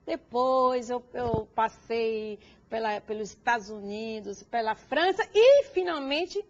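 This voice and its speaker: A woman with a noticeably high voice speaks Portuguese with a Cuban Spanish accent, answering as an interview guest. She talks in a lively way, stopping to restart now and then. The sound is a little dull, like broadcast audio. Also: takes it slowly. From Cuba. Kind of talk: conversational interview.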